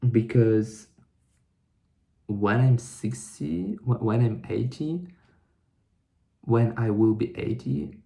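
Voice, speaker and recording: A young man talking to camera in a reflective vlog, thinking aloud in a halting way with many restarts. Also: medium pitch, gravelly, warm tone. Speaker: vlogger. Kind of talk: reflective solo vlog monologue.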